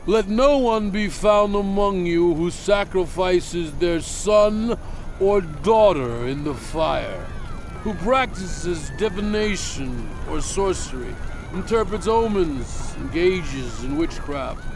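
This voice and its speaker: A man playing a solemn lawgiver prophet in a dramatized scripture reading, declaiming deliberately to his people. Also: high voice, expressive, background noise, exaggerated voice. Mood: solemn, instructive. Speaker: narrator voicing a prophet in a dramatized audio Bible. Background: background music.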